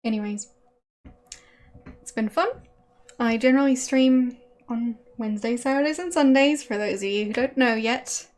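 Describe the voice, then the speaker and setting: A woman's voice: a little high, normal speed, pauses often. A woman whose first language is German, chatting casually with viewers while she streams, hesitant and full of fillers and small laughs.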